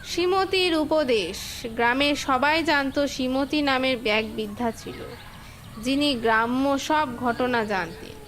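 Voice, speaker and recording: A woman's voice: sits high, a little slow, a bit bright, a little hiss or hum. A woman giving scripted narration for a cartoon fable as the storyteller.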